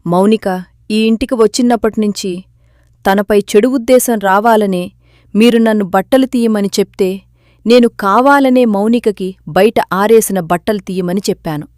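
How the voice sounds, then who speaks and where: A woman's voice: mid-range voice, darkish tone, no room sound, studio quality, natural voice. A woman playing a scheming daughter-in-law. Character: scheming elder daughter-in-law, now confessing.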